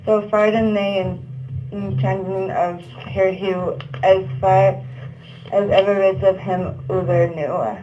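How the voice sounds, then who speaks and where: A woman narrates Middle English verse for a puppet show. Her delivery is slow and halting, tripping on archaic words. The tone is soft and mellow, and the sound is dull. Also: medium pitch, steady tone, a little hiss or hum.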